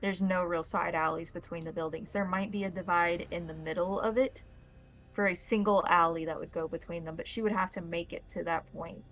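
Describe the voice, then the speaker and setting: A woman's voice: on the low side, fairly even intonation, brisk, a bit bright, poor audio. A woman acting as game master, explaining and describing scenes in detail for a tabletop role-playing game.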